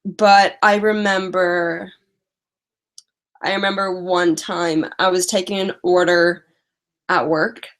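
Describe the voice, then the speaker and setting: A young woman talks casually on a live stream, her speech full of stutters and repeated first sounds. Her voice sits a little low and she speaks very slowly, in a soft, chatty way. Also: level tone. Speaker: vlogger.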